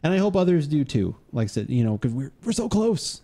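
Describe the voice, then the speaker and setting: A man's voice: a little high, big pitch swings, fairly quick, dark tone. A man in his forties hosting a live-stream podcast from a home studio, rambling and joking with lots of fillers into a studio mic. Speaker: podcast host. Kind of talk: casual live-stream chat. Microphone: studio mic on boom arm.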